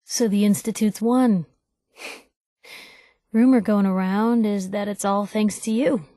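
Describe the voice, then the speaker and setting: An actress playing a spirited young woman reporter from a wasteland video game. She is sardonic and chatty, with expressive, wry lines at a middling female pitch. The sound is dry and close, with no room to it. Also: takes it slowly, no room sound, natural voice. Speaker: video game companion character. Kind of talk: acted game dialogue lines.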